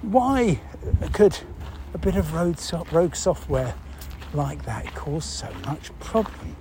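Man explaining, mellow voice, background noise. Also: fairly high pitch, big pitch swings. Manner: halting, thinking aloud, with rhetorical questions. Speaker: presenter explaining news. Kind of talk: explanatory talk to listeners, unscripted.

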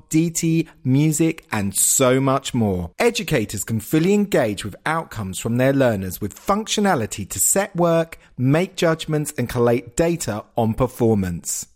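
A woman's voice: deep voice, a little mellow. A British woman reading a scripted advert in an upbeat, polished voice, running through lists of items. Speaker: ad announcer.

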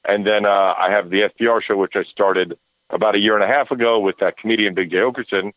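A wisecracking man in his forties calls in to a podcast. His voice comes through a thin, tinny phone line with no room sound at all, and it sits a little low. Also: fairly even intonation, quick pace, dry recording. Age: 40s. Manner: wisecracking, self-mocking. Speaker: radio host guest. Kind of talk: casual podcast chat.

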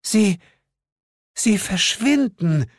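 A man's voice: sits high, animated, extremely slow, dry recording, clean studio sound, voice pushed up, exaggerated voice. A man voicing an irritable, tough, unimpressed woman in a comic audiobook, snappy and scolding. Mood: baffled. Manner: snappy, exasperated.

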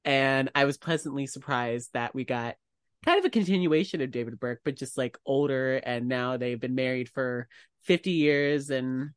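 A man's voice: mid-range voice, normal speed, clear, resonant tone, dry recording, studio quality. A man co-hosting a podcast, talking in a casual, conversational style. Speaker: podcast co-host. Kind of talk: casual discussion.

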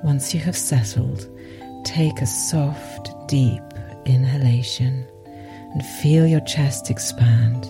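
A woman with a soft, breathy voice guides a meditation in a soothing way, pausing at length between phrases. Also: very deep voice, over music. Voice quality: soft, breathy, sometimes near a whisper. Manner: slow, soothing, with long pauses. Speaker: meditation podcast host and guide.

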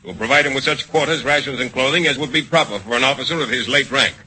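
An actor playing a stern military official who reads formal orders in a clipped old-time radio style. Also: male voice, mid-range voice, fast, sharp tone, slight background noise, poor audio.

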